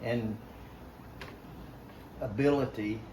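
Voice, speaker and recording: An older man with a Southern US accent spins stories in a casual, folksy ramble. His voice sits at a middling pitch. The room is echoey, with clear noise behind him. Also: steady tone, very slow. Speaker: guest, veteran hunter telling stories. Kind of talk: casual storytelling chat. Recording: roomy echo with clear background noise.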